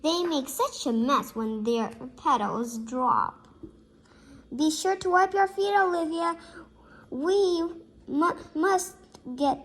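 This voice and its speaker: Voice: high-pitched, takes it slowly, fairly bright tone, pitched above the performer's own voice, heavily acted. A young female reader playing a prim, fussy, scolding mother in a storybook.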